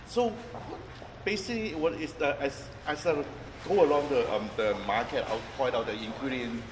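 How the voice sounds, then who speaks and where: Chef-guide type: a man, mid-pitched, stop-start delivery. Recorded at a distance, echoey street recording with steady traffic noise. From Vietnam. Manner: halting, many restarts and fillers, thinks aloud while explaining. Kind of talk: informal guided-tour talk. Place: outdoors on a busy street near a market.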